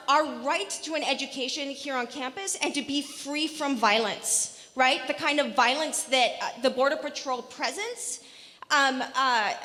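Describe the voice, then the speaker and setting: A woman's voice: sits high, sharp tone. Impassioned young woman in a large hall. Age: young adult. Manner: pointed, impassioned. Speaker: student speaking at a campus forum.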